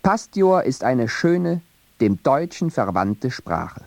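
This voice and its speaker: A man reading a scripted radio feature narration in a measured, academic way. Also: medium pitch, sing-song, creaky, darkish tone, no echo.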